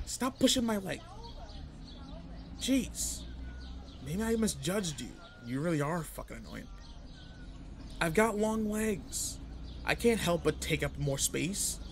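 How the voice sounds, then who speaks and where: Teenage male delinquent played by a man for roleplay audio: fairly high-voiced, gruff attitude, casual, needling, easily annoyed. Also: a little hiss or hum, heavily acted. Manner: casual, teasing, slangy. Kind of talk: roleplay audio acting addressed to listener.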